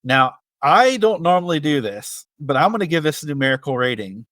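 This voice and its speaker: A man with a slightly high voice co-hosts a casual movie review show. His delivery is conversational, and the recording is dry with very little room sound. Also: big pitch swings, brisk, a bit bright, no room sound. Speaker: podcast co-host. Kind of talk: casual film review chat.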